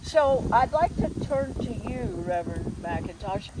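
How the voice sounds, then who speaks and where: A woman hosting a conversational interview, speaking in a measured way with pauses and emphasis. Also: mid-range voice, expressive. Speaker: interview host. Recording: clear background noise.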